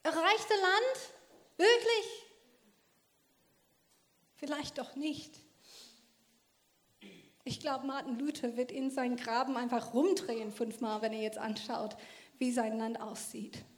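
High-voiced woman, earnest testimony. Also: takes it slowly, long pauses. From Australia. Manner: earnest and emphatic, in non-native German with loose grammar. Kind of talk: passionate personal testimony talk.

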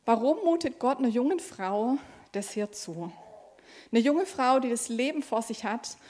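A young woman from Germany preaching in a conversational tone, her voice a little high. She reads a scripture passage first, then speaks freely and casually, with small fillers along the way. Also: expressive, moderate pace, a little mellow. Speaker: pastoral trainee preacher. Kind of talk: sermon with scripture reading.

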